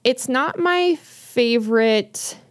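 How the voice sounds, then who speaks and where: A woman's voice: a little high, animated, slow, clear, resonant tone, dry recording, clean studio sound. A woman co-hosting a podcast, chatting casually and rambling with many fillers and restarts while recommending books. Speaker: podcast co-host. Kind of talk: casual chat about books.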